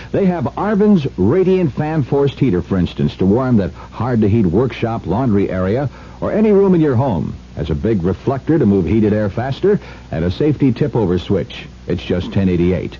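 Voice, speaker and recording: A man reading a scripted 1970s radio commercial as an announcer. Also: lowish voice. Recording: dull, faintly noisy 1970s radio broadcast.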